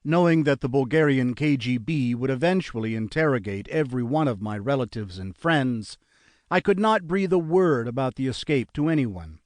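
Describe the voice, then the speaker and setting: A man narrating an audiobook in a measured, earnest reading style, close to a studio mic. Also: medium pitch, lively intonation, no echo. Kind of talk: scripted narration.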